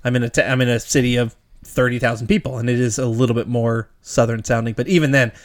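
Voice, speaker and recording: A man co-hosting a casual movie podcast, animated and laughing often, his voice jumping up when he gets worked up. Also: medium pitch, fast. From Georgia, US. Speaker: podcast co-host. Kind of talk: casual movie review chat.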